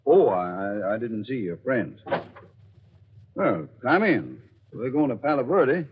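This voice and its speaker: Very animated, folksy American rancher. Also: male voice, mid-range voice, takes it slowly. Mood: teasing, friendly. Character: friendly, teasing male Western rancher driving. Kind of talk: 1940s radio acting. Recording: muffled old radio, noisy car ambience.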